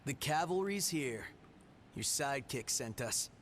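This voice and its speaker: An actor playing a blunt, emotional young fighter in a video game, informal and direct. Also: male voice, medium pitch, lively intonation, a little slow, background noise, natural voice. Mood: cocky. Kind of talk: dramatic video game voice acting. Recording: loud music under the voice.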